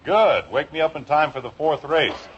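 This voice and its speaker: Animated American dad from old radio played by a man. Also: a little high, normal speed, lightly acted. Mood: joking. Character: breezy, joking, distracted middle-aged sitcom father. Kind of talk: 1950s radio sitcom acting. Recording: noisy, muffled old radio recording.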